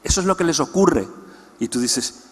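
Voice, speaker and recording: A man's voice: fairly high pitch, animated, normal speed, husky. A man giving an explanatory teaching talk to a church congress, putting questions to the audience. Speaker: conference speaker. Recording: long hall reverb.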